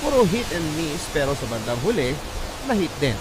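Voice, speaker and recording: A man's voice: on the high side, very animated, moderate pace, very noisy. A man with a Filipino accent reading a letter as dramatic first-person narration, as the host of a story program. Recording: loud music bed behind the voice. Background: music.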